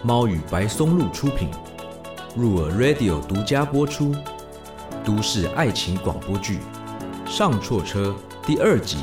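A man's voice: on the low side, sing-song, unhurried. A man reading a scripted title announcement for a radio drama as its announcer. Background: clear music.

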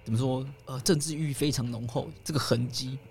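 A man co-hosting a conversational film discussion, somewhat hesitant as he pauses to find his words. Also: medium pitch, a little mellow, faint music behind. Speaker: podcast co-host.

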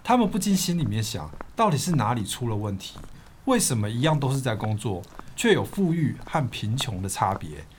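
A man's voice: mid-range voice, sing-song, fairly quick, a little hiss or hum. A man co-hosting a book podcast, reading scripted narration as a storyteller.

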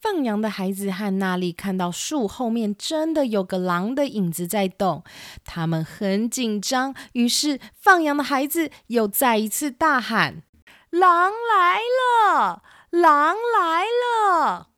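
A woman's voice: mid-range voice, expressive, measured pace, fairly bright tone, dry recording, clean studio sound. A woman narrating a scripted children's story as the storyteller. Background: classical music.

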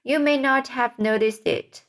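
A woman's voice: high voice, measured pace, fairly bright tone, voice pushed up. A woman reading as a kindly, motherly ladybug. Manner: reassuring, hesitant with fillers.

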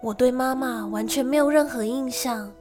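An actress playing a lonely young gentlewoman who tells of a frightening childhood in an emotive radio drama style. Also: sits high, moderate pace, over music, lightly acted. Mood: wistful. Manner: storytelling, emotive.

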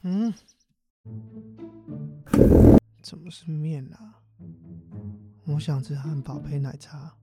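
A man's voice: fairly high pitch, unhurried. A young man with a Taiwanese accent playing an impatient college student grandson in an everyday radio drama, speaking casually and expressively. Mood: bored, puzzled. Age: young adult. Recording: music bed behind the voice. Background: music.

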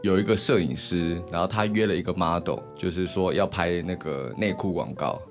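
A man's voice: deep voice, a little fast, soft background music. A man with a Taiwanese Mandarin accent co-hosting a radio show with casual, teasing banter.

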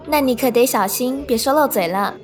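A woman's voice: a little high, unhurried, natural voice. A woman playing a guarded, scheming teenage schoolgirl with a bitter edge in a radio drama. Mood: cool politeness. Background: faint music.

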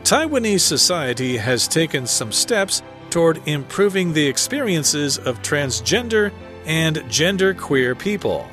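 A man's voice: a little high, fairly bright tone. A man reading a scripted article aloud as narration for language learners. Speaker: article reader. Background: background music.

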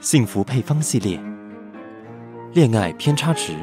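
A man's voice: mid-range voice, expressive, unhurried, close to an everyday voice. A man acting as announcer, reading a scripted title for an audio drama. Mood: presentational. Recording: loud piano music over the voice.

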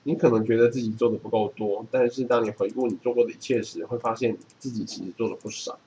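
A man's voice: mid-range voice, steady tone, fast, mellow, poor audio. A man, reading from a book and explaining it in a casual chat. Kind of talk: reading a book aloud and chatting about it.

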